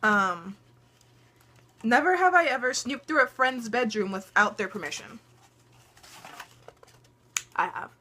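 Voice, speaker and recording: A teenage girl chatting on camera at home, her voice bright and forward. She tells stories in a loose, chatty way, laughing often and filling gaps with ums. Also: fairly high pitch, lively intonation, takes it slowly, long pauses. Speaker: vlogger. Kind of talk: casual storytelling chat.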